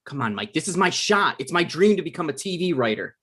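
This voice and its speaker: A man playing a deadpan, argumentative adult son and aspiring writer in a comedy script read. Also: a little high, quick pace, full character voice. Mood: pleading, defensive.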